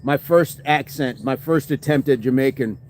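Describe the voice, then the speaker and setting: A man's voice: medium pitch, moderate pace, darkish tone. A man hosting a fitness livestream, casually chatting and reading viewer comments, laughing often and thanking people by name.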